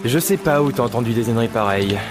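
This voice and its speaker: A man's voice: mid-range voice, animated, fast, over music, close to an everyday voice. An actor playing a familiar, teasing working-class local man in an audio drama, speaking in slang. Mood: frank, defiant. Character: chatty working-class local townsman, mocking but helpful. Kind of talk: French audio drama dialogue.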